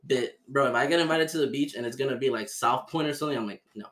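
A man's voice: medium pitch, fast talker, fairly bright tone. Laid-back slangy man in a roomy space. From Miami. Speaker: podcast host. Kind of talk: casual chat.

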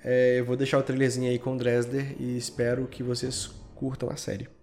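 A man with a Brazilian accent talks casually as a podcast guest. His voice is medium in pitch and his phrasing loose and slangy, with faint music behind it. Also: monotone, fairly quick, a little mellow. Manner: informal, slangy. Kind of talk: casual chat.

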